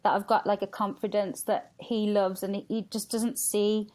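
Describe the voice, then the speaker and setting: A woman's voice: mid-range voice, level tone, moderate pace, a little mellow. A woman talking candidly and casually as a podcast guest, chatty and acting out quotes as she tells stories. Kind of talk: candid casual interview chat.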